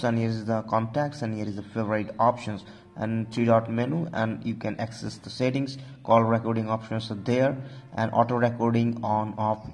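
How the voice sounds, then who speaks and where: A man's voice: medium pitch, a little mellow. A man hosting an informal phone tutorial, talking through steps with repeated stock phrases. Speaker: tech tutorial host.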